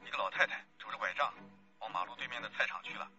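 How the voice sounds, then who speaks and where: An actor playing a tense plainclothes police officer reporting from a stakeout over police radio, in a radio drama. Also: male voice, lowish voice, fast, sharp tone, lo-fi sound, faint music behind. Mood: alert.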